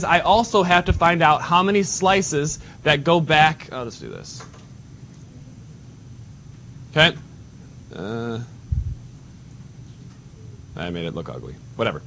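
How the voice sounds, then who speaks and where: A nasal man teaching a math class, his voice a bit higher than most. The recording is dull and lo-fi, with a faint rumble behind him. He talks casually and breaks off to speak to students. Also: a bit bright. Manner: casual, talks to students while drawing on the board. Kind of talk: classroom lesson.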